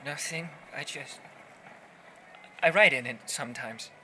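An actor playing a shy, awkward young 1940s poet with a halting, hesitant manner in an audio drama. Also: male voice, medium pitch, bright, forceful tone, a little hiss or hum, low-quality recording. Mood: flustered. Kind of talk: period horror audio drama acting.

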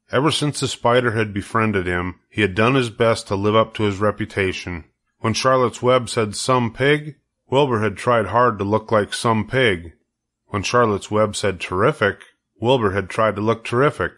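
Male children's audiobook reader, lowish pitch, measured and deliberate delivery. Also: fairly bright tone. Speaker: storybook reader. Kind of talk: audiobook narration of a children's story.

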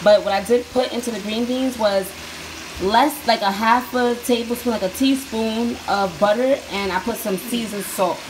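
A young woman filming a cooking video on her phone in her home kitchen, chatting to viewers in a relaxed, slangy way. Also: on the high side, normal speed. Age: young adult. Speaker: cooking vlogger. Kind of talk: casual cooking demo. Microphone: handheld phone camera. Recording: sizzling pan noise behind. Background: oil frying and sizzling.

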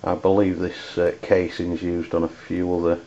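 Low, monotone Englishman, halting delivery. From United Kingdom. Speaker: amateur radio reviewer. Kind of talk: unscripted product review. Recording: dull lo-fi audio with background noise.

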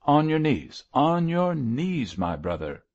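A man's voice: medium pitch, very animated, slow pace, gravelly, a little mellow, lo-fi sound, voice pushed up, heavily acted. A man voicing a stern, pious old missionary in an audiobook, solemn and exhorting. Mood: fervent exhortation. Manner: solemn, exhorting, declamatory prayer. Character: wary old male zealot missionary, stern, fervently pious. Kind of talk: audiobook character voice.

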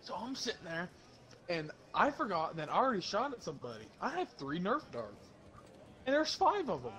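A young man casually telling stories to friends while gaming, slangy and animated as he acts out the dialogue. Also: sits high, fairly quick. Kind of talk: casual storytelling among friends during online game.

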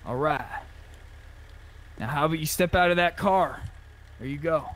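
A man's voice: a little high, normal speed, a bit bright. A man playing an online roleplay game, chatting casually with a bantering, mock-tough manner and some swearing.

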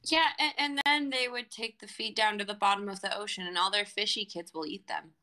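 A woman's voice: medium pitch, brisk, pushed and bright, lightly acted. A woman playing a curious, innocent seven-year-old girl in a dramatic script reading. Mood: playful, curious.

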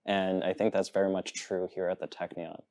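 A man's voice: deep voice, level tone, fairly quick, darkish tone, no room sound. A man in his thirties from Massachusetts, speaking earnestly as a new faculty member on a studio panel, with frequent ums. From Massachusetts, USA. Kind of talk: panel discussion. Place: television studio set.

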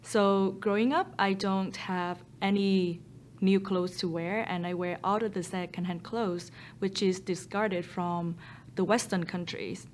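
A woman in her thirties from Vietnam with a Vietnamese accent, speaking English as a second language in an earnest, steady manner with frequent ums. She is a startup founder seated for an interview in a studio, recorded on a boom mic. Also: a little slow, a little hiss or hum. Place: photo studio with a blue backdrop, indoors. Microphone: boom mic overhead.

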